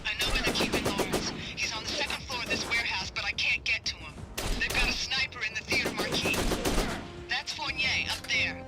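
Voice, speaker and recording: A confident female field agent, acted by a woman in an action video game. Her voice sits fairly high, wry and businesslike, over loud music. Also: a little fast, close to an everyday voice. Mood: urgent, businesslike.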